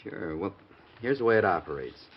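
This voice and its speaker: A man playing a calm, probing police sergeant in a 1950s radio drama. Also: low-pitched, breathy edge, heavily acted. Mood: explaining. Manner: measured, questioning. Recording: dull old radio recording.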